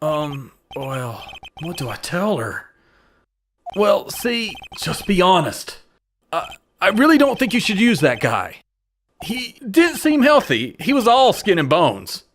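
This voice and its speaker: A man's voice: sits high, very animated, slow, a little hiss or hum, full character voice. A man voicing an earnest young defense lawyer in a video game fan dub, hesitant, with dry, exasperated asides. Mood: uneasy.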